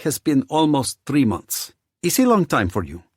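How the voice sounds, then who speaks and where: A man's voice: mid-range voice, wildly swooping pitch, moderate pace, a little mellow, slightly rough, dry recording, clean studio sound, voice pushed up. A man voicing a bored, blunt Russian woman with a Russian accent.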